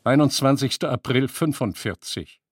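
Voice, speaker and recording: A man's voice: medium pitch, moderate pace, slightly rough, no echo. A man narrating a documentary radio play, announcing facts and headings in a factual, clipped manner.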